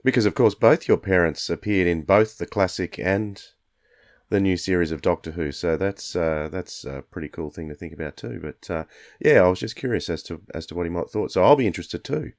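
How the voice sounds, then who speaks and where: Deep voiced guy co-hosting a casual interview, dry clean sound. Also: a little fast, no room sound. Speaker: interviewer, co-host.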